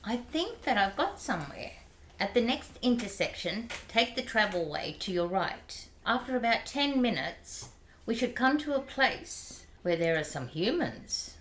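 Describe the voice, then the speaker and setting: A woman's voice: fairly high pitch, very animated, measured pace. A woman voicing a helpful handheld companion gadget. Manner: matter-of-fact, informative. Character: helpful handheld companion device, female-voiced. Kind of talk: amateur radio drama acting. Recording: roomy echo with faint hiss.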